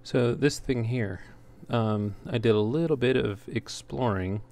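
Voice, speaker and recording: A man's voice: mid-range voice, unhurried, a little mellow. A man giving casual, unscripted gameplay commentary as a let's play host, talking in an easygoing, hesitant ramble. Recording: clearly noisy home recording.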